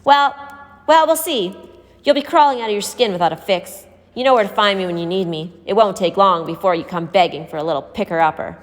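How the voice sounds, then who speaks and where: A woman's voice: mid-range voice, fairly quick, natural voice. A woman voicing a violent, controlling male thug from a story, with a coarse, threatening, snarling manner. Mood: sneering. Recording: echoey hall-like reverb.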